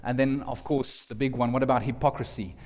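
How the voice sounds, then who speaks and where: A man's voice: medium pitch, fairly even intonation, a little fast, a little mellow. A man preaching a sermon as pastor, earnest, often restarting and repeating words. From South Africa. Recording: long hall reverb.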